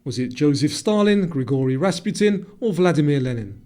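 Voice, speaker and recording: Casting: male quiz reader, slightly high but very mellow, scripted delivery, faint music underneath. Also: animated, fairly quick.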